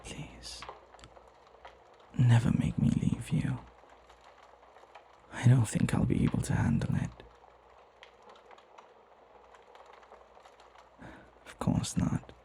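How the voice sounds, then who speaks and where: A man playing a devoted, flirty butler in an intimate ASMR roleplay, tender and hesitant, often sinking into a breathy whisper. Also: medium pitch, huge pitch swings, takes it slowly, long pauses, mellow, slight background noise, full character voice. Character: flirty lovestruck male butler, devoted and deferential.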